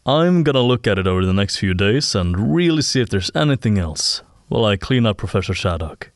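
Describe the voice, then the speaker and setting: A man's voice: on the low side, very animated, brisk, dry recording, clean studio sound. A man acting in an audio drama as a keen sound investigator, speaking in a thoughtful, hesitant way. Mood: keen, explaining. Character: keen male audio sleuth who relishes a challenge.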